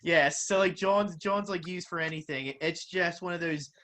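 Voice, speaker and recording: A man with a Philadelphia accent hosting a casual interview, speaking informally and haltingly. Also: fairly high pitch, a bit bright. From Philadelphia, United States. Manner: halting, informal, often says like. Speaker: interviewer, podcast host.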